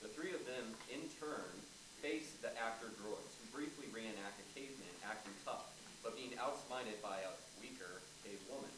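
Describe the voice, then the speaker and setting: A man's voice: lowish voice, moderate pace, few pauses. A man reading stage directions aloud as scripted narration for a play. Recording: echoey noisy room, far from mic.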